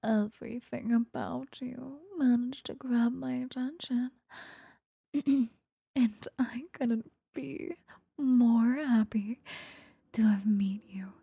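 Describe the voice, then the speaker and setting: Woman as a slow, breathy, whispering vampire seductress. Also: on the high side, fairly even intonation, mellow, no room sound, heavily acted. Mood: adoring, obsessive. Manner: slow, intimate, lingering delivery. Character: obsessive, possessive female vampire stalker, seductive and doting. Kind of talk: whispered ASMR roleplay. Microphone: close microphone.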